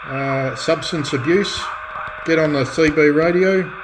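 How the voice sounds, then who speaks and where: A middle-aged man in a relaxed off-the-cuff chat as a radio hobbyist, speaking in a halting, reflective way and often restarting his phrases. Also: fairly high pitch, noisy background. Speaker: radio hobbyist and restorer presenting own channel.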